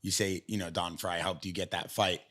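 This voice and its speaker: A man's voice: deep voice, flat delivery, normal speed, fairly bright tone. A man co-hosting a podcast in casual chat, asking questions and joking along.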